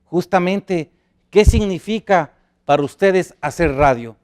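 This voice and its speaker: A man's voice: high-pitched, unhurried, darkish tone, clean tone. A man hosting a TV panel, formal and welcoming as he introduces guests and poses questions. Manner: formal, welcoming, slips on a name. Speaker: TV talk show host.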